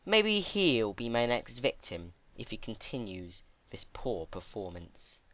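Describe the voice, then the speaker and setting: A man voicing a sullen, cruel young female pony student in a fan fiction reading, stammering and shouting in disbelief. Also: mid-range voice, very animated, slow, no room sound.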